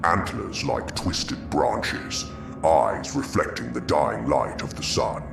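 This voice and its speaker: A man voicing a haunted old undead hunter in gothic horror narration, gravelly, brooding and ominous. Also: very animated, exaggerated voice. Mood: grim, fearful. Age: older. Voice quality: gravelly, very deep. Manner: slow, brooding, ominous storytelling. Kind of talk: scripted first-person gothic horror narration. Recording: roomy echo over music. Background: music.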